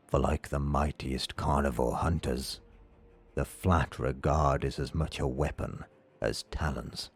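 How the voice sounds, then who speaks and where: Male narrator for dark fiction: bottomless low register, slow measured pacing, grave and dramatic. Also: breathy edge. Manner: solemn, measured delivery. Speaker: audiobook narrator. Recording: music under the voice. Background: background music.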